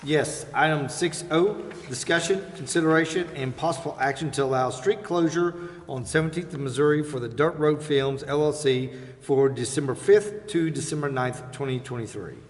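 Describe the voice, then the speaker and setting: A man's voice: a little high, moderate pace, few pauses. Man chairing a meeting in an echoing hall. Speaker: mayor chairing a city council meeting. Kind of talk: formal meeting procedure, reading agenda items. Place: council chambers. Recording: hall reverb of a meeting room.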